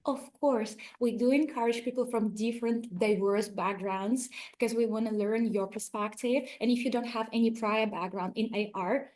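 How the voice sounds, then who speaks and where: A woman's voice: fairly high pitch, fairly even intonation, normal speed, few pauses, darkish tone. A woman organizer answering audience questions over a video call, explaining in an encouraging way. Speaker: event organizer.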